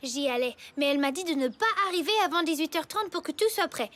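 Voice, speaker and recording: High, lively cartoon schoolgirl. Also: fast talker, a little hiss or hum. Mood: questioning. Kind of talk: French animated comedy series acting.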